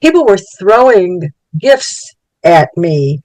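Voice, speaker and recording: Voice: medium pitch, sing-song, slow, darkish tone, no room sound, low-quality recording. Elderly Yiddish-native survivor, thoughtful. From Poland. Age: 80s. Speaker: Holocaust child survivor giving testimony. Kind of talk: personal recollection for a documentary.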